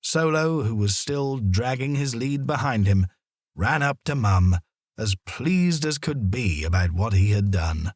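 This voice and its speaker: Brief: male children's narrator, expressive and animated, slightly rough-edged voice, close dry sound. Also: medium pitch, measured pace, no echo. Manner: dramatic, expressive storytelling.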